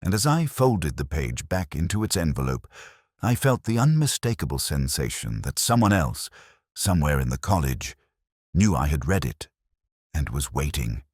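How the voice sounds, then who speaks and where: A man narrating a scripted first-person detective story in a measured, literary, brooding manner. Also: very deep voice, big pitch swings, darkish tone, no room sound, studio quality.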